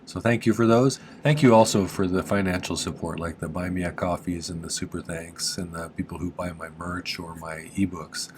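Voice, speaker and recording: A man with a slightly nasal, congested voice giving a relaxed, chatty how-to lesson on a craft in his workshop, with plenty of "uh" fillers. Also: deep voice, normal speed, hardly any pauses, slight background noise. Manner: relaxed and chatty, with frequent "uh" fillers and small laughs. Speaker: silversmith teaching on his channel. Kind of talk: casual how-to narration.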